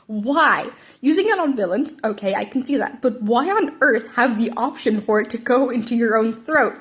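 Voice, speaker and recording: A woman's voice: a little high, lively intonation, moderate pace, close to an everyday voice. A woman voicing a stern, exasperated male teacher, with blunt, incredulous questions, in a story reading. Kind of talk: character dialogue in a fan fiction reading.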